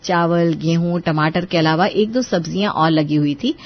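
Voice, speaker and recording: A woman's voice: on the low side, moderate pace. Female narrator for scripted moral tales, storytelling delivery, slightly lo-fi sound.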